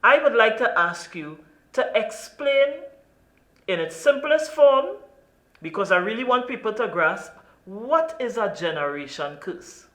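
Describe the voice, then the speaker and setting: Trinidadian woman, talk show host, bright forceful voice, roomy echo, emphatic. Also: on the high side, animated, unhurried. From Trinidad and Tobago. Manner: earnest, emphatic, lots of "you know". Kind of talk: interview.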